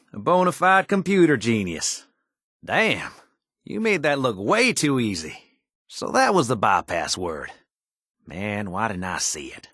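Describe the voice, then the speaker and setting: Wry folksy fix-it man for a game, slangy, pitch moves around a lot, no room sound at all. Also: a bit bright, no room sound, studio quality, full character voice. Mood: impressed. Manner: folksy, colloquial, drawling slang. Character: easygoing, wry handyman tinkerer, a weary wasteland survivor. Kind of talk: video game character dialogue.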